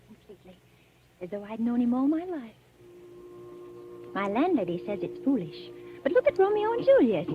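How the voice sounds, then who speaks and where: An actress playing a naive, romantic young bride in old-time radio drama, with genteel, fluttery delivery. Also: female voice, a little high, sing-song, normal speed, pauses often, soft background music. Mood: dreamy, smitten. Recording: dull, lightly noisy vintage radio recording.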